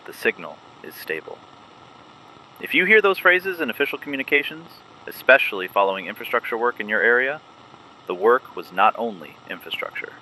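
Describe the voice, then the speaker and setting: A man playing a guarded, methodical resistance broadcaster in a scripted dystopian radio drama, giving clipped, deliberate instructions. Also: mid-range voice, sing-song, sharp tone, slight background noise, poor audio. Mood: measured, warning.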